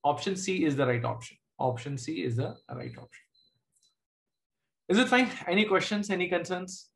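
High-voiced Indian English math teacher. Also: male voice, animated, moderate pace, vocal fry, a bit bright. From Jharkhand, India. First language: Hindi. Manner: explains step by step, checks in with students often. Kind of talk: online class teaching. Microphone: computer mic on a video call.

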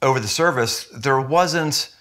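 An emphatic man in his fifties speaks as a pastor in a sit-down interview, his voice bright and forward. He stresses points with sudden lifts in pitch, recorded indoors in a quiet living room. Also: a little slow. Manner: earnest, emphatic, with lively jumps in pitch when stressing points. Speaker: senior pastor.

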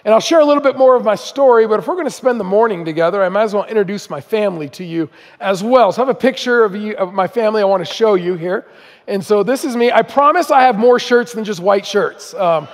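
Guy in his 40s preaching at church, kinda high voice, joking around and story-telling, hall rings behind him. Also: lively intonation, fast. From Iran, raised in Southern California. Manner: chatty, self-deprecating, many fillers. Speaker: guest speaker. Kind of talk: storytelling sermon. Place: church auditorium. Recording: long reverb of a large hall.

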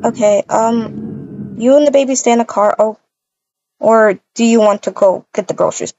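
A woman's voice: fairly high pitch, normal speed, darkish tone, no room sound, natural voice. A woman playing an easygoing, affectionate young wife and mom in an improvised game roleplay. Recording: dull lo-fi microphone.

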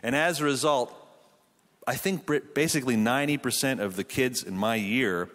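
A man's voice: mid-range voice, normal speed, a bit bright. Chatty canadian man in a reverberant hall. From Quebec, Canada. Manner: chatty, self-deprecating, many restarts. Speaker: pastor and conference speaker. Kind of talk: conference sermon. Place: large church auditorium with stage. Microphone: handheld mic.

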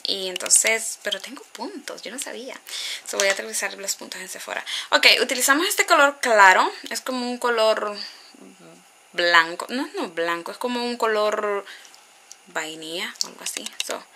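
Chatty Honduran-born woman, bright gravelly voice. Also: medium pitch, animated, moderate pace, slight background noise. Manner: chatty, rambling with asides and restarts, mixes in English words. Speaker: beauty vlogger. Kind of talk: casual makeup tutorial. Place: small bedroom, indoors. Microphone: webcam at close range.

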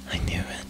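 A man whispering softly in a teasing way, with breathy laughs, in an intimate ASMR roleplay. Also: rough recording.

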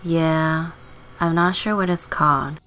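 A woman's voice: low voice, fairly even intonation, slow, a bit bright. A woman playing a stubborn, sardonic teenage runaway girl with a dry manner in a scripted game story. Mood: dry, offhand.

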